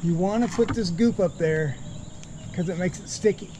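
Middle-aged man, slightly high voice, easygoing step-by-step explainer, close camera outdoors, crickets loud behind. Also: moderate pace, noisy background. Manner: relaxed, explanatory, walking through each step. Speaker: boat refit vlogger. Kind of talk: casual how-to narration. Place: outdoor boatyard under a hull. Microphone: handheld camera at close range.

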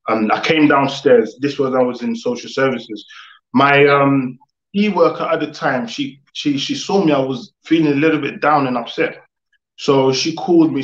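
Young man, interview guest, medium pitch, casual reflective storyteller, roomy and muffled video-call sound. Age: young adult. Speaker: guest, music producer. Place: living room, video call. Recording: echoey room, dull video-call audio.

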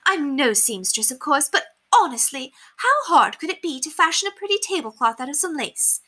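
A haughty, spoiled lady of the manor from a storybook. Her voice is very high and swoops dramatically. She is pompous and affected, stressing her words with bright force. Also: very animated, moderate pace, exaggerated voice. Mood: self-important.

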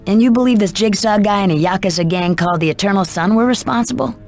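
An actress playing a skeptical interrogator in a video game, dry and questioning. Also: female voice, mid-range voice, fast, darkish tone, faint music behind, natural voice. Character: cool, skeptical female interrogator. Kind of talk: video game cutscene acting.

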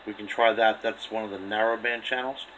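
A man with a fairly low voice talks casually through a two-way radio test. He is recorded indoors on a handheld camera, with faint noise behind him. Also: steady tone, fairly quick, a bit bright, poor audio. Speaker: two-way radio operator demonstrating equipment. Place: indoor room beside a radio set.

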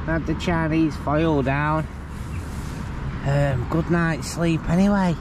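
A man in his forties chatting casually as a camping vlogger, low-key and muttering to himself as he works. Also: steady tone, slow pace. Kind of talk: casual vlog chat. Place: in and beside a small tent by a busy road. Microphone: camera at arm's length or propped nearby. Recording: noisy outdoor field recording, passing traffic. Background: traffic and outdoor ambience.

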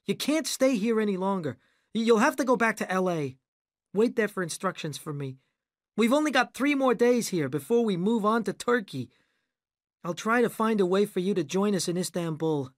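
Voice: animated, moderate pace, dry recording, clean studio sound, close to an everyday voice. A reader voicing a bossy adult male film director who barks orders in a raised, commanding voice. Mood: exasperated. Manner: loud, commanding, raised pitch. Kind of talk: audiobook character voice.

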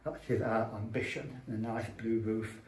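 An older man with an English accent, a priest giving an address in a halting, measured, earnest way. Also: fairly low pitch, steady tone, a little hiss or hum. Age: older, around 70s. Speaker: Orthodox parish priest. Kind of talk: unscripted fundraising address to camera. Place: small indoor chapel room. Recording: roomy echo from camera mic.

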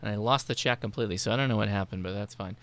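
A man's voice: fairly low pitch, rapid-fire, a bit bright. An American man in his thirties hosting a livestream casually, reading out viewer questions on a close mic. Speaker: livestream host. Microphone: close mic on boom arm, with headphones.

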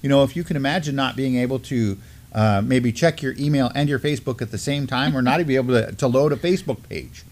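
A middle-aged man co-hosting a community news show, talking casually and haltingly as he thinks aloud. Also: mid-range voice, a little mellow. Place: indoor studio desk, backdrop.

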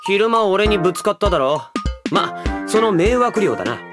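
A woman playing a rough teenage delinquent boy in an anime audio drama, talking in a blunt, coarse, slangy way. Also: mid-range voice, big pitch swings, normal speed, over music, natural voice. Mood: demanding, brash. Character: rough, gruff teenage boy delinquent, brash but casual.

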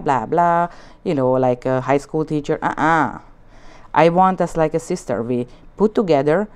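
Low-voiced Hungarian-accented nail art teacher. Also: female voice. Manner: chatty, warm asides, broken English, frequent fillers. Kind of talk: casual demonstration talk. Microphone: off-camera voice over hands-only shot.

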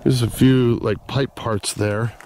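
A man narrating casually as he explores an old desert site outdoors, thinking aloud with pauses, recorded on a camera mic. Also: medium pitch, steady tone, darkish tone, slight background noise. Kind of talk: casual narration while walking.

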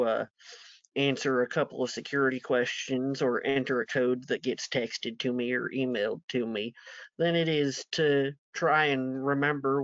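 A man's voice: medium pitch, fairly bright tone, no room sound. A man answering a question on a webinar, casual and a little self-deprecating. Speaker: webinar co-presenter. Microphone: video call. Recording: dry, dull lo-fi webinar audio.

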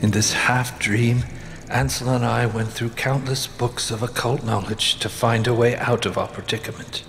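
Solemn mid-pitched man recounting dark events. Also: steady tone, moderate pace, gravelly, faint music behind. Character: grave man recounting a failed escape from darkness. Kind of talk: scripted game narration.

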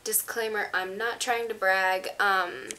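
A woman's voice: mid-range voice, fairly even intonation, measured pace, pushed and bright, slight background noise. A teenage girl with a stuffy, congested voice, chatting to camera in her bedroom as she shows off items in a rambling, casual way. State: congested, under the weather. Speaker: vlogger. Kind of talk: casual product show-and-tell to camera. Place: small bedroom indoors. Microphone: camera on a stand in front of her.

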